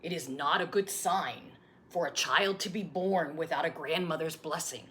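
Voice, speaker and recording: A woman storyteller reading a picture book aloud in an expressive way. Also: low voice, normal speed, a little hiss or hum.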